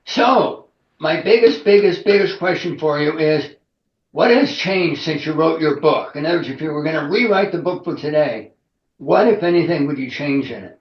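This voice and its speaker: A man's voice: on the high side, lively intonation, moderate pace, echoey room, highs cut off. A man interviewing a guest, asking questions in an informal, colloquial way.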